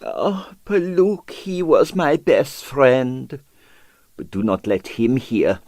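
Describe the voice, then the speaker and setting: A male narrator puts on a preening Russian ballet dancer from a storybook. He fawns and gushes theatrically, admiring himself as he goes, and his pitch leaps about in a very dry recording. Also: lively intonation, slow pace, darkish tone, no echo. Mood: sighing, confiding. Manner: effusive, theatrical, self-regarding. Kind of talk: acted audiobook character.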